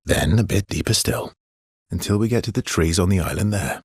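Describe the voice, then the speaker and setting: Husky, brooding, gentle man. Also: expressive, no echo, clean studio sound, full character voice. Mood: calm, reassuring. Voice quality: husky, gravelly low voice. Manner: understated, halting, intimate delivery. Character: brooding, gently teasing, guilt-worn man, hesitant and tender. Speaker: video game voice actor. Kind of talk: naturalistic video game character dialogue.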